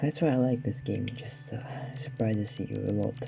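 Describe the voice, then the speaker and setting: A man's voice: on the low side, normal speed, gravelly, noisy background. A man giving casual, hesitant commentary while playing a game, his voice sardonic and a little down. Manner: sardonic, slightly down-sounding, hesitant with many fillers. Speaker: let's play gamer. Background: game music.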